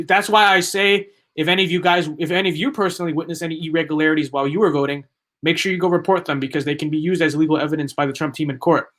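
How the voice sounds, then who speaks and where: A young man running live-stream commentary in a slightly high voice. He talks in rambling, emphatic bursts, circling back over the same points. His voice is starting to wear thin and hoarse. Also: fairly bright tone. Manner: rambling, emphatic, repeats himself. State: losing his voice. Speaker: livestream political commentator.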